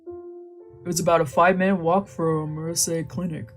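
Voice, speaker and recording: A woman's voice: lowish voice, flat delivery, moderate pace, faint music behind. A woman streamer reading a visual novel aloud, mixing narration with joking asides. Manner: reads narration, then drops into joking asides. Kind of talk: casual read-aloud with chatty asides.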